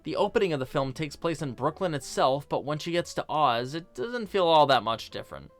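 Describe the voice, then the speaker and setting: A man's voice: fairly high pitch, animated, brisk, soft background music. A man narrating a scripted film review as host, with casual, opinionated, conversational asides.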